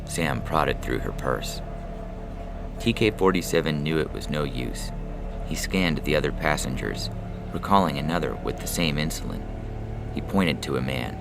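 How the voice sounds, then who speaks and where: Very deep, gravelly male story narrator. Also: normal speed, music in the background. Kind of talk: scripted fiction narration.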